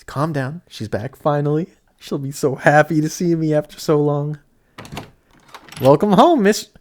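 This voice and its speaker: A young man playing a kindhearted apprentice sorcerer in an audio roleplay, chatty, excitable and easily flustered, with some stammering. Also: on the high side, measured pace, close to an everyday voice. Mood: excited. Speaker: voice actor. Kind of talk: amateur audio roleplay acting addressed to the listener.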